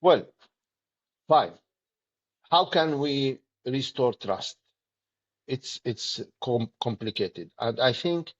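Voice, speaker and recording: A middle-aged man with a Palestinian Arabic accent, speaking earnestly and deliberately in short, emphatic phrases as an interview guest. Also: mid-range voice, slightly rough, lo-fi sound. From Palestinian territories. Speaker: political activist, interview guest.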